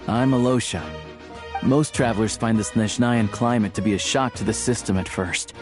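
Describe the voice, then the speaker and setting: Male actor as young hunter in a fantasy game: lowish pitch, casual, wry and friendly, light music behind. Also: normal speed, close to an everyday voice. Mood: friendly, advising. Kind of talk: video game voice acting.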